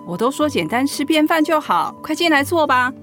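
An actress playing a gentle, caring, encouraging wife in a Mandarin radio drama. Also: female voice, high-pitched, big pitch swings, fairly quick, soft background music. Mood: welcoming.

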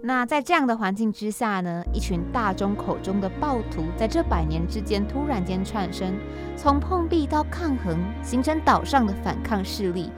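A woman's voice: mid-range voice, expressive, moderate pace. A woman with a Taiwanese Mandarin accent hosting a podcast and introducing it in a casual, explanatory way. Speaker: podcast host and scriptwriter. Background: background music.

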